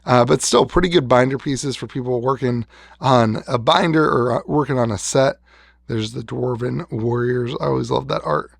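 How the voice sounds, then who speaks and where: Gravelly-voiced man losing his voice. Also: medium pitch, moderate pace, no room sound. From West Virginia. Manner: rambling, restarts, enthusing over old cards. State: losing his voice after being sick. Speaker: card shop owner showing a collection. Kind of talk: casual show-and-tell vlog.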